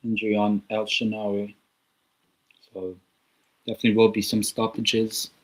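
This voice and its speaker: A man's voice: on the low side, monotone, normal speed, low-quality recording. A man hosting a live football watchalong, giving casual, rambling commentary with chatty asides from a small room at home. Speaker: watchalong host.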